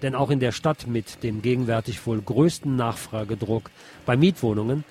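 A man's voice: medium pitch, moderate pace, a little mellow. A man hosting a radio programme, reading a scripted political commentary. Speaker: radio host.

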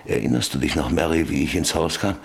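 An actor in a German radio drama playing an old, lonely rancher who broods bitterly over his life in halting, repetitive phrases. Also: rumbling low, creaky, slight background noise. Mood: bitter, remembering. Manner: brooding, halting, repeating words.

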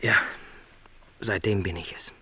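Low-voiced, resigned middle-aged salesman. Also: steady tone, pushed and bright, husky, full character voice. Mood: subdued sadness. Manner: measured, reflective, hesitant phrasing. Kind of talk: literary radio drama dialogue.

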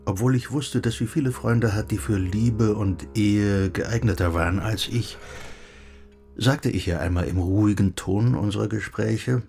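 An actor playing a middle-aged, bookish recluse in a radio play, speaking in a reflective, lyrical and measured way. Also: male voice, on the low side, gravelly, a little mellow, breathy edge, faint music behind, exaggerated voice. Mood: tender, reminiscing. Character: wistful, gently philosophizing bookish recluse husband. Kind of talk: German radio play acting.

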